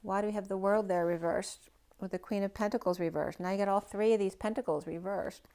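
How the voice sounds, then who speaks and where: A woman's voice: mid-range voice, fairly even intonation, fairly quick, warm tone. Rambling woman reading tarot cards. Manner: loose, rambling, piling up possibilities; thinks aloud with frequent "right?" asides. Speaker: tarot reader. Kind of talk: general tarot reading for a star sign.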